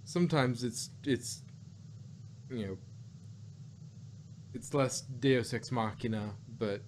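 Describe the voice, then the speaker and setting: A man's voice: medium pitch, takes it slowly, slight background noise. Rambling man reviewing an audio drama. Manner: rambling, many restarts and quoted asides. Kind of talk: casual review chat.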